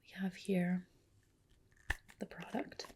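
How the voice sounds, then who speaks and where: A woman whispering softly and breathily in an ASMR roleplay as a spa skin specialist, gently explaining and reassuring. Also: on the low side, level tone, takes it slowly, slight background noise. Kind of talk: ASMR roleplay, personal attention.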